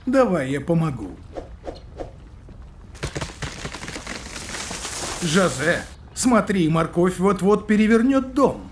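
High, animated cartoon sidekick. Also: male voice, measured pace, noisy background. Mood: alarmed, urgent. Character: curious, cautioning cartoon companion of a little cook. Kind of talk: animated children's cartoon dialogue.

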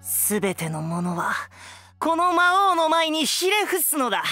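A woman's voice: high voice, sing-song, unhurried, pushed and bright, exaggerated voice. A woman voicing a little boy who plays an imperious demon king, with grandiose, archaic, menacing speech in a comedic voice anime. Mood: menacing, haughty. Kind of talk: Japanese voice-anime comedy acting. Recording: music bed behind the voice.

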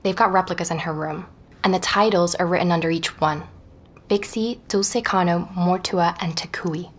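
Low-voiced, reflective woman podcaster played by an actress. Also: normal speed, natural voice. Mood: keen. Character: inquisitive, persuasive woman podcaster researching a painter. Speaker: podcast narrator and lead character. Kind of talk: audio drama narration and natural dialogue.

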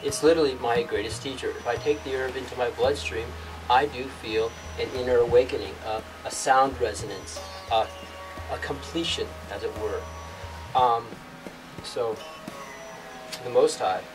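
A young man giving a meandering spiritual yoga talk in an outdoor rock cave, halting often and restarting his sentences. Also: mid-range voice, steady tone. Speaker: yoga teacher. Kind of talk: rambling spiritual teaching monologue. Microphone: far camera. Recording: distant mic with music behind. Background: instrumental music.

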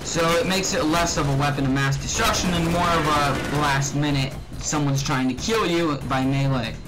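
A young man with a slightly high voice talks casually through a video game. He is excitable and mutters to himself as he goes. Loud game music and gunfire run behind him. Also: normal speed, rough recording. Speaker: let's play gamer. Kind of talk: casual gameplay commentary.

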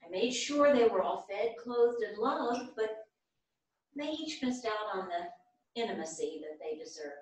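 A woman's voice: medium pitch, animated, a little slow, darkish tone, lightly acted. A woman playing a blunt, regretful elderly mother of six in a staged reading, plain-spoken and wry. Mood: regretful, candid. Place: small theatre stage, seated at music stand. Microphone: far camera. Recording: very echoey theatre stage from far off.

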